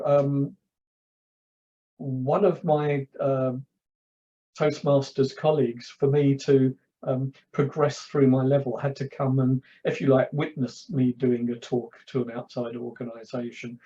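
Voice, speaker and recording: A man's voice: mid-range voice, warm tone, highs cut off. A man from London with a London accent, a public speaking coach chatting as a guest and telling anecdotes, often repeating words as he starts. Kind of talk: casual interview chat.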